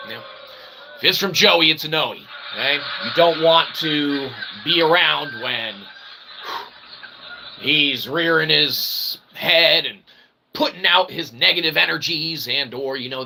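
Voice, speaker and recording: A man delivering a rambling comic monologue as a channel host, mock-pompous and wordy, with a theatrical delivery and a gravelly voice. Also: a little high, noisy background. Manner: mock-pompous, wordy, repeatedly ending phrases with "okay". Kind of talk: rambling philosophical comedy monologue.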